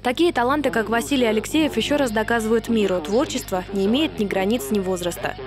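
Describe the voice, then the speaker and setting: A woman's voice: on the high side, fast talker, music in the background. A woman reporter reading a scripted voice-over for a television news report.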